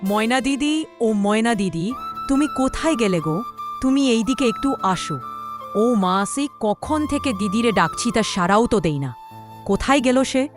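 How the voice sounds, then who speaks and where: A woman's voice: on the high side, expressive, normal speed, faint music behind, lightly acted. A woman voicing a meek, dutiful young daughter-in-law in a cartoon, deferential and hesitant. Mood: searching. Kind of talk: dramatic Bengali cartoon voice acting.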